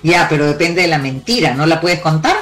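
A woman's voice: deep voice, a little fast, faint music behind. A woman, born in Cuba and raised in Peru, giving emphatic relationship advice as an interview guest. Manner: emphatic, lively storyteller with sweeping declarations.

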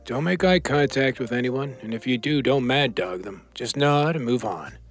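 An actor playing a streetwise, commanding gambler in trouble, giving clipped orders in a noir audio drama. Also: male voice, mid-range voice, expressive, normal speed, faint music behind, lightly acted. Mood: guarded, instructing.

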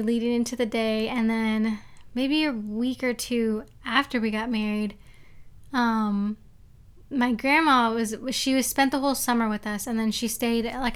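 Bright-voiced chatty young woman. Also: a little high, clean tone. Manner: chatty, loose, many fillers. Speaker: podcast co-host. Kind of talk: casual chat.

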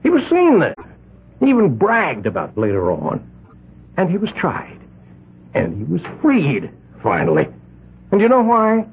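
An actor playing a domineering wealthy mill owner who leads a vigilante group, in a 1970s radio drama, with a husky, gravelly voice. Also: male voice, high voice, wildly swooping pitch, a little slow, pauses often, full character voice. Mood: pleading. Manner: blustering, swings from soft to loud. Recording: narrow-band old radio broadcast.

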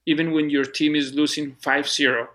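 Colombian man, flat even voice. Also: on the high side. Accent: Colombian Spanish. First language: Spanish. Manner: halting, repeats words, earnest. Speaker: guest, soccer club operations manager. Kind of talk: casual interview conversation.

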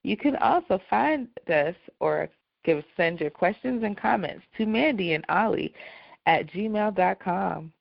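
Dry-voiced woman with a gravelly creak. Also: medium pitch, lively intonation, measured pace, no room sound, lo-fi sound. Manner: dry delivery. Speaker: podcast co-host. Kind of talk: casual chat.

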